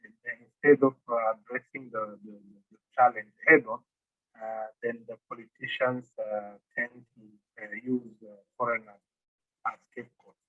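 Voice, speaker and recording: A man speaking as a panelist in a discussion, pausing often with fillers and restarts. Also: mid-range voice, fairly even intonation, no echo. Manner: halting, many fillers and restarts. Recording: dry, phone-like online call audio.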